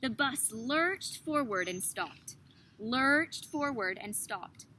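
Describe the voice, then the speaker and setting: A young woman narrating a children's picture book with measured, careful phrasing. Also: a little high, expressive, pushed and bright. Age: young adult. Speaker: student storybook reader. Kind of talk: scripted children's story narration. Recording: noticeable background noise.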